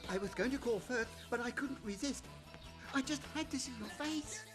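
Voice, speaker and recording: A woman's voice: sits high, lively intonation, normal speed, over loud music. A woman playing a boy's affectionate friend in a film comedy-drama. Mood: teasing, pleased.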